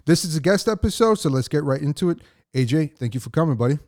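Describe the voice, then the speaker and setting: A man's voice: on the high side, expressive, fast talker, darkish tone. A man hosting a podcast, chatting casually as he interviews his guest. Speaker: podcast host.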